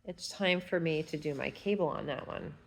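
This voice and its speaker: A woman's voice: deep voice, moderate pace, gravelly, poor audio. A woman with a stuffy nose, chatting casually at home while showing her knitting, humming to herself as she works. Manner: chatty, rambling, hums while working. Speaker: fiber arts vlogger. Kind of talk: casual knitting tutorial chat.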